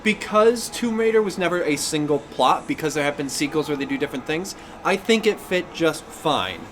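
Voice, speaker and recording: A man in his thirties chatting casually as a podcast co-host, halting and wandering off on tangents. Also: on the high side, animated, a bit bright, a little hiss or hum. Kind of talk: casual chat about games and films.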